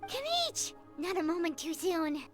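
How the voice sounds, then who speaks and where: A little floating fairy girl from an anime game. The voice is squeaky, childlike and extremely high, swinging up and down in a perky, excitable way. Music plays behind it. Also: unhurried, full character voice. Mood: excited. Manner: perky, speaks of herself in third person. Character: Paimon, tiny excitable forgetful floating fairy companion girl. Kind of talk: anime video game acting.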